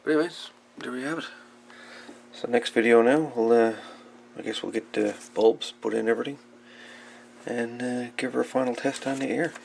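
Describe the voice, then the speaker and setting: A man with a gravelly voice giving a casual, folksy hands-on demonstration as a hobbyist repairer. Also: medium pitch, steady tone, moderate pace, darkish tone, slight background noise. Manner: informal, folksy, calls the radio "her". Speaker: radio repair hobbyist presenting a how-to video.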